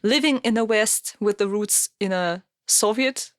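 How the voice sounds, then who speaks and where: A woman's voice: mid-range voice, measured pace, dry recording, studio quality. A woman in her thirties who grew up in Russia, talking casually as a guest musician, with many fillers and restarts. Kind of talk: casual interview conversation.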